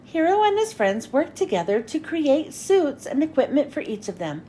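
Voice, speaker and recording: A woman reading a children's story aloud in an expressive, dramatic storytelling style. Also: sits high, moderate pace, slight background noise. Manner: expressive, dramatic with character lines. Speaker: children's book reader. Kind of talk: animated read-aloud storytelling for kids.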